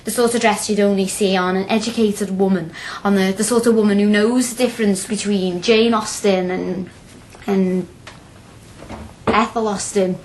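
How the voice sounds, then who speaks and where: A woman's voice: medium pitch, fairly even intonation, moderate pace, slight background noise, natural voice, rough recording. An actress playing a feisty, blunt young working-class woman with a Liverpool accent in a radio drama, chatty and outspoken. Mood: proud, eager. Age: young adult.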